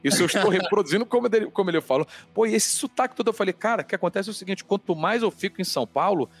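A man with a Carioca accent, a podcast guest chatting in an animated, casual way and swearing often. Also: sits high, very fast, breathy edge. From Rio de Janeiro, Brazil. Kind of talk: casual chat.

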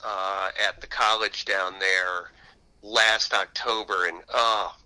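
A man's voice: deep voice, steady tone, takes it slowly, pushed and bright, smooth, low-quality recording. A man speaking as an interview guest and author, telling anecdotes in an enthusiastic, conversational way.

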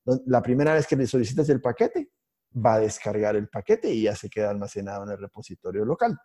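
A man co-hosting a podcast, telling stories in a halting way with many restarts and fillers. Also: fairly low pitch, expressive, warm tone. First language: Spanish. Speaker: podcast co-host, IT instructor. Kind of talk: casual podcast conversation.

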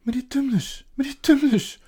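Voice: mid-range voice, very animated, normal speed, a little mellow, breathy edge, voice pushed up. A storybook reader voicing a kind, anxious, outspoken little girl in an earnest, pleading way. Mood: alarmed, pleading.

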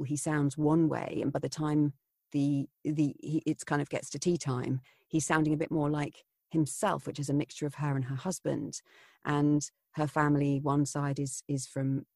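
Gravelly, husky voiceover artist chatting. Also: mid-range voice, mellow, no room sound, studio quality. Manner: thoughtful, rambling with fillers. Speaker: voiceover artist, podcast host. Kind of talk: casual chat.

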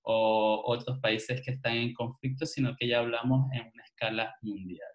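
A man's voice: mid-range voice, fairly even intonation, moderate pace. A man from Venezuela, hosting a conversational interview and often searching for his words. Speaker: interview host, journalist.

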